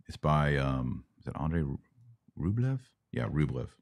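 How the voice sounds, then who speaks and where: Middle-aged male podcast host, American, extremely low voice, informal teacherly Q&A delivery. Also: big pitch swings, normal speed, a little mellow. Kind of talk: live Bible Q&A, casual teaching.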